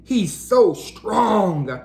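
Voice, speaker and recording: Woman as enthusiastic storybook kitten pupils, high-lifted voice. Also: very animated, extremely slow, creaky, darkish tone, roomy sound, full character voice. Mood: delighted. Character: enthusiastic young cat classmates admiring zoo animals.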